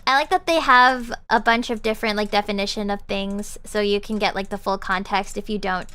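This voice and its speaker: A woman's voice: medium pitch, steady tone, brisk, bright, forceful tone, smooth. A young woman streamer chatting casually and rambling with lots of fillers while she winds down her stream. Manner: bubbly, rambling with many fillers. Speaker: VTuber streamer. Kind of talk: casual live-stream chat.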